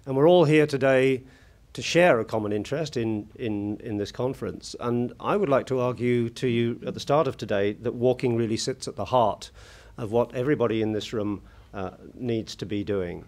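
A British man with a husky voice giving a formal conference talk from a lectern, measured and full of hesitant fillers. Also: medium pitch, darkish tone. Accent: British English. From United Kingdom. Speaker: conference keynote speaker. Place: large conference hall at a lectern. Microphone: lectern microphone.

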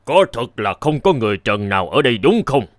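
An actor playing a solemn, commanding elder in an animated fairy tale, speaking in a measured, authoritative way. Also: male voice, medium pitch, dry recording, clean studio sound, lightly acted. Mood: stern, suspicious. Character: solemn male envoy and hermit, commanding elder.

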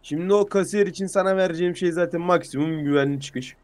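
A man with a slightly high voice plays a police negotiator in a game roleplay. He speaks rapidly and bluntly, pushing his point in casual slang. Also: darkish tone. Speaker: player acting as police negotiator.

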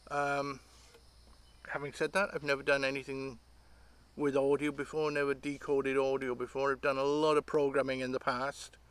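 A man, talking casually and haltingly to camera outdoors, rambling as he thinks aloud. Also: medium pitch, a bit bright, clean tone. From Great Britain. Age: 50s. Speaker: hobbyist vlogger. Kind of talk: casual unscripted talk to camera. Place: outdoors among trees.

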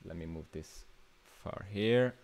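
A man's voice: deep voice, slow pace, a little mellow. A man in his twenties with an Italian accent, hosting a casual livestream workshop in an informal, hesitant way, often thinking aloud. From Italy. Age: 20s. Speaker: workshop host, new media artist. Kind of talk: casual livestream masterclass, chatting with viewers. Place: small indoor room.

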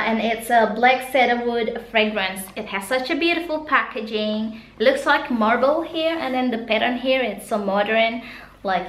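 A woman's voice: on the high side, a bit bright, reverberant. A woman in her thirties from Indonesia with an Indonesian accent, Sundanese her first language, chatting through a casual shopping haul and gushing over products. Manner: chatty, laughs often, gushes over products. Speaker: vlogger. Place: small room indoors.

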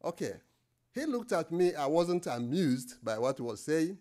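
A middle-aged Nigerian man giving a lecture at a podium microphone in an indoor lecture room, pausing often with fillers and restarts. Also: a little high, big pitch swings, warm tone. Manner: hesitant, many fillers and restarts. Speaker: guest lecturer.

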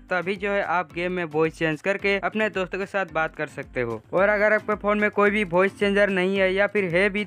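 High-pitched man, smooth clean voice, chatty gaming host, casual tutorial narration. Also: a bit bright. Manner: chatty, repeatedly addressing viewers as bro and guys.

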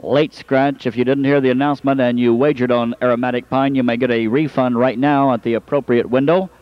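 A man announcing a harness race, introducing the field and then calling the running in a rapid rhythmic style that builds toward the finish. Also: mid-range voice, steady tone, no room sound, highs cut off.

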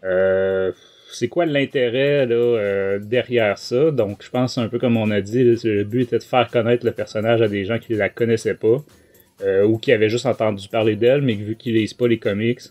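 A man's voice: on the low side, fairly even intonation, moderate pace, a little mellow, lo-fi sound. A man with a Quebec French accent, a podcast host giving a casual review in a hesitant, rambling way. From Quebec, Canada.

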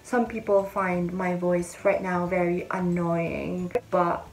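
A woman's voice: fairly low pitch, level tone, takes it slowly, rough recording. A young woman talking to camera in a chatty, friendly way, giving step-by-step advice in a casual vlog. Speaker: vlogger. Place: indoor room with a bead-curtain backdrop.

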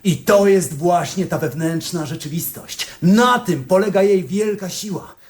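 A man playing an earnest, eccentric poet in a radio comedy, husky, hesitant and excitable, flustered yet proud of his verse. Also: sits high, unhurried, a little hiss or hum. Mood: proud, eager. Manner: hesitant, excitable, musing aloud. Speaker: actor in a radio play. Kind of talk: theatrical radio comedy dialogue.

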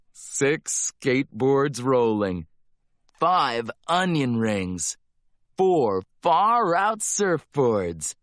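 A man reading a rhyming children's picture book aloud as narrator, with a playful, drawn-out delivery. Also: mid-range voice, no room sound, studio quality. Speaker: storybook narrator.

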